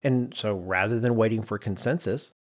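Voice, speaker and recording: A man's voice: fairly low pitch, moderate pace. A middle-aged man hosting a podcast in casual discussion, acting out little imagined quotes as he explains. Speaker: podcast host.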